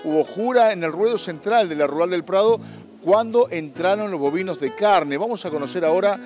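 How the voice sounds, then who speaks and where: A man's voice: sits high, brisk. Guy reporting from a cattle show outside, handheld mic, faint music behind. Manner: lively presenter greeting, then quiet interview questions. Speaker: agricultural TV reporter and interviewer. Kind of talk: field reporting and interviewing. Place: outdoor livestock show grounds.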